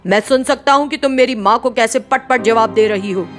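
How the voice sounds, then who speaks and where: A woman plays a stern young husband in a cartoon family drama. The voice is fairly high, reproachful and accusing, over faint background music. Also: a little fast, lightly acted. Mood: angry, reproachful.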